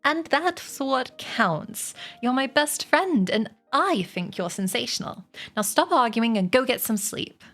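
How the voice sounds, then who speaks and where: Woman as a high, kindly, clever female spider. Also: animated, a bit bright. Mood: sweet, reassuring. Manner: brisk, articulate, sweet.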